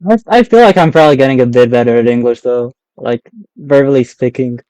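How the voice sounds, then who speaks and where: A man's voice: mid-range voice, fairly quick, mellow, clear, resonant tone, dry recording, rough recording. A man with an Argentinian accent, a native Spanish speaker, chatting casually and rambling as he streams a game. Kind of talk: casual live stream chat while gaming.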